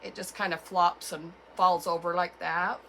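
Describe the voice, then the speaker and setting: A woman with a cold and a froggy, hoarse voice, chatting casually as she walks through a craft tutorial at home. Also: fairly low pitch, level tone, background noise. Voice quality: froggy, gravelly. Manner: chatty, unhurried, with fillers and self-corrections. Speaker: craft channel host.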